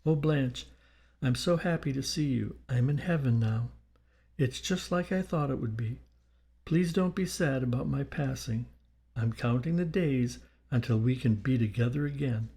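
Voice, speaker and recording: A man's voice: mid-range voice, normal speed, dark tone, breathy edge. A man voicing a loving, wistful spirit in an audiobook, speaking with affection and yearning to a beloved.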